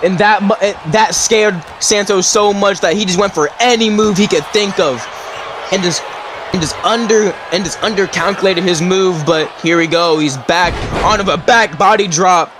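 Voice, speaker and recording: A man giving wrestling color commentary, moving between steady analysis and shouted disbelief. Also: high voice, moderate pace. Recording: loud crowd and noise behind.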